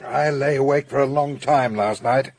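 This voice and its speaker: An actor playing a sober, sceptical police detective in a British radio mystery, with a British accent and a brisk, questioning manner. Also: male voice, mid-range voice, breathy edge. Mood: sceptical, probing. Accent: British English. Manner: brisk, questioning, matter-of-fact.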